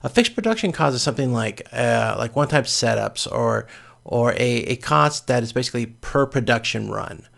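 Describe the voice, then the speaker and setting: A man giving an informal, step-by-step spreadsheet lecture as a course instructor, with a gravelly, creaky voice. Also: mid-range voice, lively intonation, moderate pace, a bit bright. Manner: explains step by step in plain informal words.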